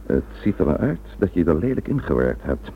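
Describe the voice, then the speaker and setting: A man playing a measured, formal and firm senior police commissioner in a radio drama. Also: low-pitched, big pitch swings, gravelly, slight background noise, heavily acted. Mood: calm, official. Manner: formal, deliberate, authoritative. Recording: tinny, band-limited old radio recording.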